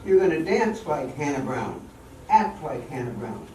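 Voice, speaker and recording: A man's voice: fairly high pitch, sing-song, moderate pace, darkish tone. An older man playing a bossy, hopeful song-and-dance showman, giving emphatic instructions in an amateur radio play. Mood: determined. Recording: echoey hall, distant mic, faint noise.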